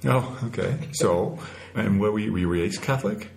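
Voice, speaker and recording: A man's voice: lowish voice, moderate pace, vocal fry, darkish tone. A man hosting an informal podcast interview, speaking in simple, conversational phrases.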